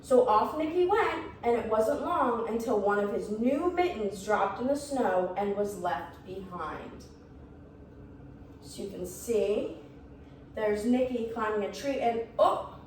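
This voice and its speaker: A woman reads a picture book aloud as a storyteller, expressively, pausing for asides that point things out to young listeners. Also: medium pitch. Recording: roomy, echoing, distant mic, faint noise.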